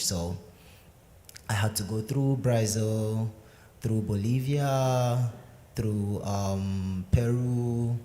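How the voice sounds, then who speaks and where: Soft-voiced young man, echoey sanctuary. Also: on the low side. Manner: hesitant, many fillers. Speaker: guest speaker sharing his story. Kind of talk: personal testimony answering questions. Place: synagogue sanctuary. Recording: echoey sanctuary with faint noise.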